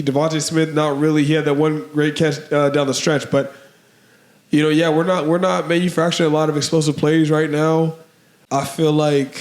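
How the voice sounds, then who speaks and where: A man co-hosting a podcast, slightly hoarse from allergies, rambling through casual sports talk with lots of "man" and "bro". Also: fairly high pitch, a little mellow, echoey room. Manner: rambling, filler-heavy, says "man" and "bro". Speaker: podcast co-host.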